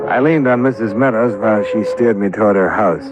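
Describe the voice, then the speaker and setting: An actor playing a wry, stubborn American insurance investigator in a clipped 1950s radio detective style. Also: male voice, lowish voice, a little fast, loud music behind the voice, close to an everyday voice. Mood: narrating, weary. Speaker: lead actor and narrator. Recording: muffled, noisy old radio recording.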